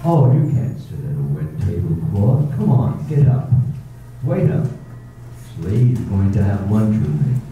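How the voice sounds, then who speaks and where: A man playing a courteous but brusque, aloof gentleman in 1930s style radio drama. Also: fairly low pitch, very animated, unhurried, highs cut off, slight background noise. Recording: echoey hall from far off.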